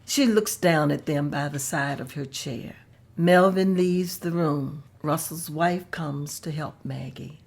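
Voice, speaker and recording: A woman narrates the stage directions of a table read, plainly and measuredly. She speaks slowly in a somewhat low voice. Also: vocal fry, a little mellow, breathy edge.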